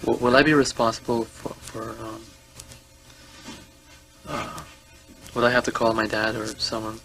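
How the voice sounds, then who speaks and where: A man in his twenties from Guyana, a suspect answering questions in a hesitant, halting way and stumbling over repeated words. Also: mid-range voice, fairly even intonation, a bit bright, low-quality recording. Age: 20s. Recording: interview room audio with music overlaid.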